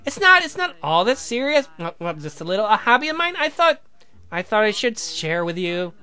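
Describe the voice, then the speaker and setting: Man doing a high, sweet, coy young woman. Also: big pitch swings, moderate pace, bright, forceful tone, smooth, exaggerated voice. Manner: coy, hesitant, pleading. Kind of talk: visual novel character voice.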